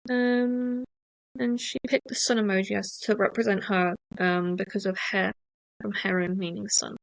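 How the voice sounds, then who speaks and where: A woman hosting a podcast, talking in a rambling, hesitant way with many fillers and restarts, and deliberately speaking a little slower than usual. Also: medium pitch, creaky, dry recording, rough recording. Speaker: podcast host. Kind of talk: casual rambling monologue.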